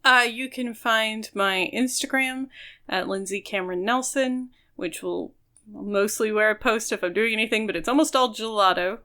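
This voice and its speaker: A woman's voice: on the high side, normal speed, pushed and bright. A woman co-hosting a podcast, talking in a casual, informal and chatty way. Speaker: podcast co-host.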